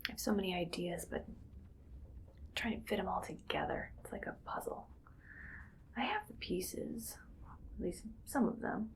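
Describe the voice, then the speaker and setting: A woman playing a bossy, musing female mad scientist in a whispered ASMR roleplay, speaking in a breathy, clinical, quietly menacing way. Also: medium pitch, lively intonation, lightly acted. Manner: slow musing, clinical, domineering. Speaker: ASMR performer.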